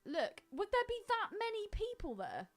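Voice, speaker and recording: A woman co-hosting a podcast, chatting casually about films in an animated, crude-humoured, informal way. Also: very high voice, moderate pace. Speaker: podcast co-host. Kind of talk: casual film chat.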